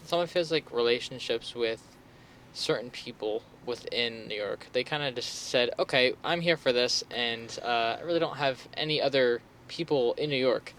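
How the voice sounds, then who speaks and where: A man's voice: medium pitch, moderate pace, fairly bright tone. Young male podcast co-host, easygoing and hedging; faint noise under the track. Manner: laid-back, hedging, swears casually. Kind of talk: casual chat.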